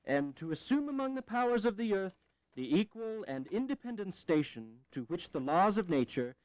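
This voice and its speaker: Animated, declamatory founding father. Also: high voice, moderate pace, dry recording, low-quality recording. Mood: solemn. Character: articulate, prickly male founding father drafting the declaration. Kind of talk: historical audio drama acting.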